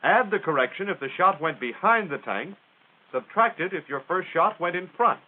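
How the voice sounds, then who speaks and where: American male narrator for a 1940s military instructional film; plain-spoken and folksy, highly animated intonation, thin vintage soundtrack sound. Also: moderate pace. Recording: tinny, band-limited 1940s film soundtrack.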